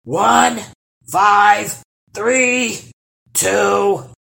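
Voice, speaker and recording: A woman voicing a huge, hungry male troll from a children's storybook, gruff and blustering, with a roaring, drawn-out delivery. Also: mid-range voice, fairly bright tone, voice pushed up, full character voice. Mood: loud counting.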